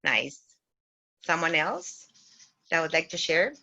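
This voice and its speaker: A middle-aged woman with a Chilean Spanish accent, halting as she searches for words while presenting informally to an online workshop over a video call. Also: deep voice, very animated, bright, forceful tone. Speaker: workshop facilitator, cooperative development consultant. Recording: muffled, lo-fi video call audio.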